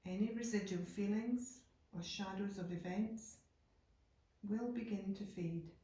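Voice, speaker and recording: A woman guiding a yoga nidra meditation in a calm, unhurried, soothing way, leaving deliberate pauses between phrases. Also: mid-range voice. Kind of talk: slow guided meditation narration. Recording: echoey room, distant from microphone.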